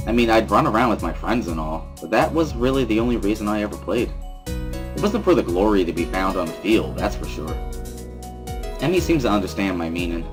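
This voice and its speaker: A man's voice: on the low side, very animated, brisk, over music. A man giving a first-person scripted narration, reading a visual novel aloud as a dramatic reading. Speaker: reader of a dramatic reading series.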